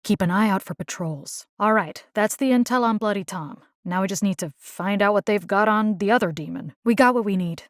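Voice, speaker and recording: A woman's voice: mid-range voice, a little fast, hardly any pauses, dry recording, studio quality, close to an everyday voice. An actress voicing a wry, determined, compassionate young woman who hunts monsters in a video game, speaking conversationally with muttered asides. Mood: focused, determined. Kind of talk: video game character voice lines.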